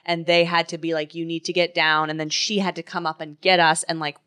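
A woman hosting a podcast, telling stories in a casual, animated way with swearing. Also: on the low side, fairly quick, clean tone. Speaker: podcast host. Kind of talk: casual chat.

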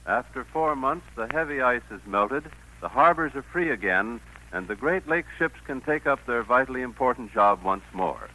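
Bright, forceful American radio spokesman. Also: medium pitch, expressive, normal speed, a little hiss or hum, low-quality recording. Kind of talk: 1940s scripted radio advertisement. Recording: 1940s radio broadcast recording.

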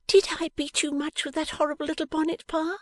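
A woman reading an audiobook, voicing a playful, affectionate young wife in a high, light, wheedling voice. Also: level tone, brisk, darkish tone, no echo, natural voice. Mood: coaxing.

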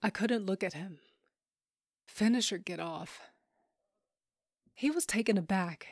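A woman's voice: mid-range voice, unhurried, darkish tone, no room sound, studio quality. An actress playing a grieving, bitter widow who hunts monsters, telling her story in an intense audio drama. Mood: wounded, weary. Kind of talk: first-person audio drama narration with acted scenes.

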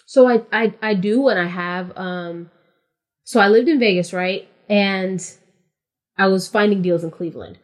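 A woman's voice: medium pitch, measured pace. A woman from California, a podcast guest in casual interview chat, explaining things matter-of-factly and step by step with frequent "like".

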